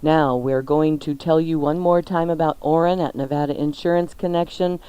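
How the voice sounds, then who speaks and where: A woman's voice: sits low, fairly even intonation, moderate pace, dark tone, clear, resonant tone, no room sound. A woman co-hosting a radio show, playful and chatty, mixing casual banter with live ad reads. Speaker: radio cooking show co-host.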